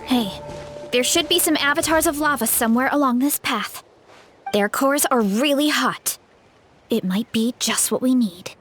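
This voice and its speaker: A woman voicing a gentle, modest but brave young female warrior in a video game, speaking sincerely and earnestly. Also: high-pitched, moderate pace. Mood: helpful. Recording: game music behind the voice.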